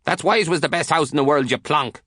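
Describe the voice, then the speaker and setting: A man's voice: on the high side, fast, a bit bright, no room sound, studio quality, pitched above the performer's own voice. An Irish man voicing a bossy, scornful older sister who taunts in an audiobook. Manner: taunting, insulting.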